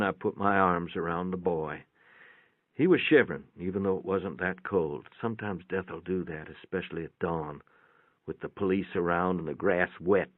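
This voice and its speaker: A man giving a dramatic first-person reading of a short story in a measured, reflective way. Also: low voice, dry recording. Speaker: story reader. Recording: thin band-limited phone-like sound, dry.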